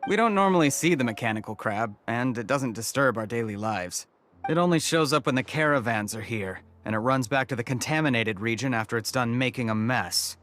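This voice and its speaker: An actor voicing a plain-spoken forest villager who explains calmly. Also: male voice, medium pitch, a little fast, natural voice. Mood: explaining. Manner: calm, informative. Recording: game audio with background music.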